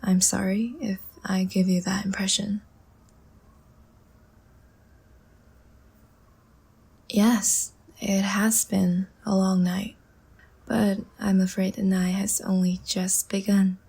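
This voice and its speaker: Woman playing a languid, breathy, half-whispering vampire seductress. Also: medium pitch, flat delivery, full character voice. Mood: coy, teasing. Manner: slow, soft, teasing and soothing. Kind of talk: intimate ASMR audio roleplay.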